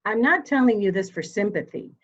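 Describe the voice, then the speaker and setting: A woman acting a steely, dying elderly mother in a mystery drama reading, speaking formally and deliberately. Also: medium pitch, very animated, dull audio. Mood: grave, resolute.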